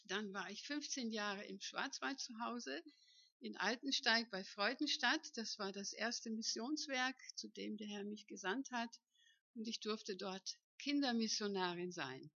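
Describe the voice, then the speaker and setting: Bright-voiced missionary woman in her fifties. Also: fairly high pitch, unhurried, no echo, muffled recording. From northern Germany. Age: 50s. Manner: chatty, often asks the room for agreement. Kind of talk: personal testimony to a church congregation. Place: church hall.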